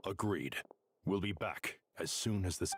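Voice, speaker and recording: A deep, solemn scholar. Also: male voice, a little slow, slight background noise. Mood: resolute. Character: solemn, composed male scholarly advisor. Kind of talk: video game voice acting, dramatic dialogue.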